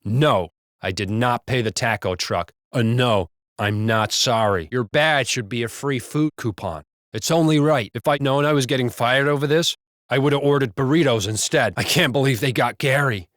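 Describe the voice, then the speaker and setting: Video game cop, played by a man: American, mid-pitched, blunt and profane wisecracker, casual delivery, very dry sound. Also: normal speed, fairly bright tone, no room sound, natural voice. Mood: grim, weary. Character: foul-mouthed, gossipy male American cop on duty. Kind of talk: video game character banter.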